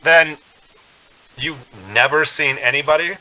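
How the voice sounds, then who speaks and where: A man's voice: a little high, fairly even intonation, slow pace, sharp tone, full character voice, low-quality recording. An actor playing an uneasy young man on a road trip in a suspense radio drama. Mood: nervous, hesitant. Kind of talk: radio drama acting, first-person suspense narration.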